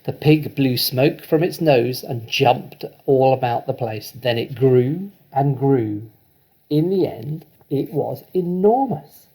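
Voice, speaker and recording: A man reading a children's story aloud in an expressive, deliberate, dramatic storytelling manner. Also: medium pitch, breathy edge, poor audio. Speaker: storybook reader.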